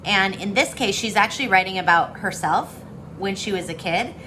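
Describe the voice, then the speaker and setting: Bright-voiced woman reading aloud to children. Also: medium pitch, moderate pace, a little hiss or hum, poor audio. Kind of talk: online storybook read-aloud with casual chat. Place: indoors, on a video call.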